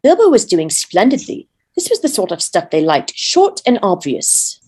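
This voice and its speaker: A woman with a medium-pitched voice narrates a fantasy tale. She reads aloud in a measured way, as a volunteer storyteller would. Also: huge pitch swings, a little mellow, husky. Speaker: volunteer reader.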